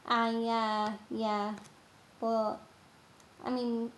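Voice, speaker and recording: A woman's voice: on the high side, completely flat delivery, drawn-out words. A young woman from England with an English accent chatting casually on her live stream, with many ums and uhs. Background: game music.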